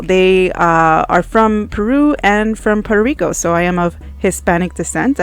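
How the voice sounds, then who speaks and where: A middle-aged American woman hosting a podcast, telling her personal story to listeners in a chatty, conversational way. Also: lowish voice. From New Jersey and Miami, US. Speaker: podcast host, middle school teacher.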